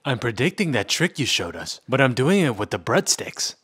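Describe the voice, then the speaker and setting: A man's voice: medium pitch, big pitch swings, moderate pace, a bit bright, slightly rough. A man acting comic dub characters in a drawling, theatrical manner.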